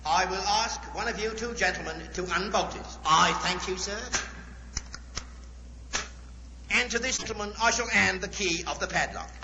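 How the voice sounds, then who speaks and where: Pompous showman magician, high voice, florid ceremonious patter, vintage radio drama, muffled noisy recording. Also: brisk. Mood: showy, ceremonious. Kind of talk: 1940s radio acting.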